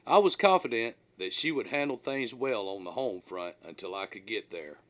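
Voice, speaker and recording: A man's voice: fairly low pitch, lively intonation, normal speed, fairly bright tone. A man reading his own first-person memoir as an audiobook, in a folksy, dramatic storytelling manner.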